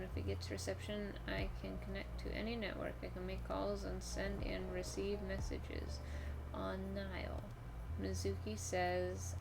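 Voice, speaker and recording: A woman's voice: mid-range voice, vocal fry, background noise. A woman streaming a video game, chatting casually and reading on-screen text aloud as she plays.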